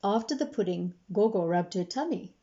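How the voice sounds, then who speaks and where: A woman reads a children's storybook aloud with animated expression. Her pitch sits in a middle range for a woman. The recording is somewhat dull and lo-fi. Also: normal speed. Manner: animated, acts out dialogue. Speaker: storybook reader, librarian. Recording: dull, lo-fi sound.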